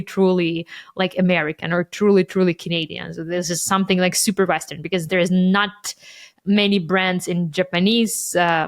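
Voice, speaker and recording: Chatty woman, native Ukrainian speaker. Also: medium pitch. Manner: chatty, quick storytelling with many "like" fillers. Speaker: podcast co-host. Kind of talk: casual business chat.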